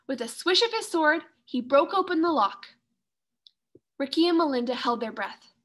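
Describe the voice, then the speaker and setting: A woman with a high voice narrates a children's story. She reads expressively, as a teacher does when reading aloud to young pupils. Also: lively intonation, moderate pace, a bit bright.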